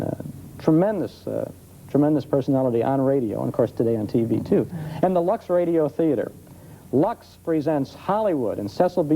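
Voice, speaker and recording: A man chats as a studio interview guest, telling stories enthusiastically. His tone is very mellow and warm at an average pitch, with faint noise on an old TV tape. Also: rough recording. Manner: enthusiastic storytelling. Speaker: guest expert on old-time radio. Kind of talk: conversational interview. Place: television studio.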